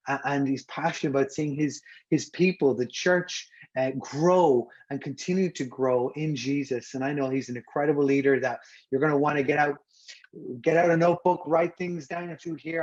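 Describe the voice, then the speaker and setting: A man with an Irish accent hosts a podcast interview. His voice sits at an average pitch and his delivery is earnest and encouraging. The recording is a little dull and lo-fi. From Ireland. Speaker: podcast host, pastor. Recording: dull, slightly lo-fi audio.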